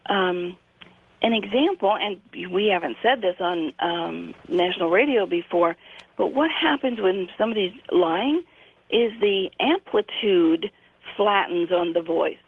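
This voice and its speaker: A woman's voice: medium pitch, animated, a little slow. A woman in her sixties, a radio guest, explaining things patiently with frequent hesitations over a phone line. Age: 60s.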